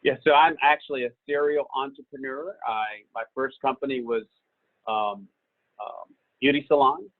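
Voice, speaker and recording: A business owner answering questions on a video call in a relaxed, rambling way, with many fillers. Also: male voice, mid-range voice, moderate pace, no room sound. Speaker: business owner and guest speaker. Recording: tinny phone-like call audio.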